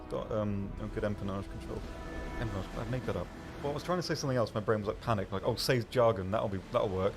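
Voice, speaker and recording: A man's voice: on the low side, quick pace, over music. A man co-hosting a game livestream, chatting casually in a hesitant, rambling and self-deprecating way. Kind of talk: casual chat.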